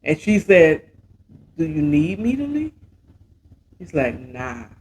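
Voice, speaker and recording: An American man hosting a show, casually retelling a romance story with dramatic, sassy asides and drawn-out exclamations. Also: on the high side, big pitch swings. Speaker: host of a story recap show.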